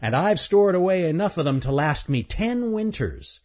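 A man's voice: a little high, big pitch swings, normal speed, darkish tone, pitched above the performer's own voice. A man voicing a cocky male chipmunk who teases and wheedles in a children's story. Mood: cocky, teasing. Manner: teasing, pushy.

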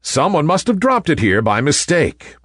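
A man voicing a sophisticated, brusque theatre manager with a booming, offhand way of speaking, in a storybook. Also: wildly swooping pitch, normal speed, a bit bright, husky, no room sound, clean studio sound, heavily acted. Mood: brisk.

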